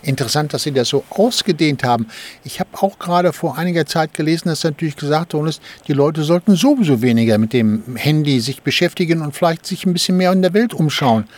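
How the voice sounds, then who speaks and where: A man's voice: a little high, animated, fast, few pauses, darkish tone. A male radio interviewer asking conversational questions, sometimes hesitating mid-sentence.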